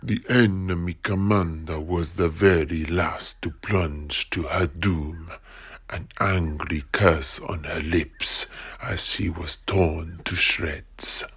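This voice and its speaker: A man playing an explorer in an audio drama, grimly recounting a battle in a husky, gravelly voice with deliberate, drawn-out phrasing. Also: sits low, fairly even intonation, fairly bright tone, full character voice. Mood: grave, dramatic. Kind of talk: dramatic audio play narration.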